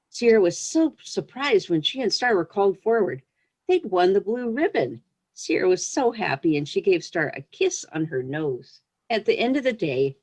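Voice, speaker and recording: A woman with a distinctly low-pitched voice speaks as a children's book author. She is a guest on a storytime show, sharing her work and thoughts in an easy, plain-spoken way. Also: a little mellow. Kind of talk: storybook read-aloud, then casual interview answers.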